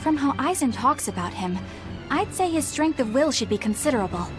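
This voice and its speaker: Proper young warrior girl; high, lively, earnest game delivery over prominent music. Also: brisk. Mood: thoughtful. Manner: earnest, expressive. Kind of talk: English-dubbed video game cutscene acting. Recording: dramatic game music behind the voice.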